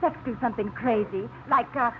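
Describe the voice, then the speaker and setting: A woman's voice: a little high, a little fast, natural voice. Maggie, an actress playing a spirited single woman on old radio. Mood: restless, playful. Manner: bright, spirited, teasing. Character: Maggie, a lively single small-town woman. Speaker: lead actress. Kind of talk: 1950s radio drama acting. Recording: muffled old radio broadcast, faint hiss.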